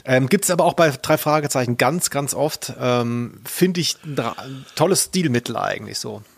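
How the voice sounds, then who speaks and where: A man's voice: mid-range voice, animated, normal speed, vocal fry, husky. A man hosting a podcast, chatting casually in a playful, joking manner. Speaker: podcast host, culture journalist. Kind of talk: casual podcast chat about audio dramas.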